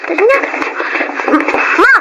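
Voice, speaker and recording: A woman's voice: slow pace, very noisy, close to an everyday voice. A woman with a Filipino accent voicing a poor, hungry, affectionate young child in a radio drama. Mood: eager.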